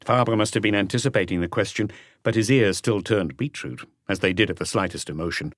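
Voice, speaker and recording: A man reading scripted literary narration for an audiobook in a measured, restrained manner. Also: lowish voice, no echo, studio quality.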